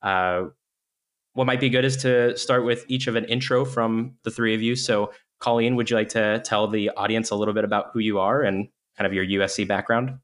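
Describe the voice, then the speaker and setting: Low-voiced man hosting a podcast. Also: steady tone, a little fast, fairly bright tone. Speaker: podcast host. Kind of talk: hosted university podcast talk.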